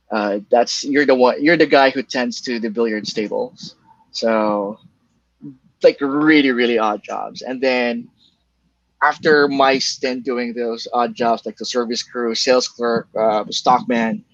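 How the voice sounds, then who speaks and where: A man with a Filipino accent talks about his work in a casual, halting way, restarting his sentences often. His first language is Bicol. The recording sounds dull and lo-fi, dry and close with no room echo. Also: mid-range voice. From Philippines. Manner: halting, many restarts and fillers, laughs lightly. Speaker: guest, email copywriter. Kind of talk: casual interview answers.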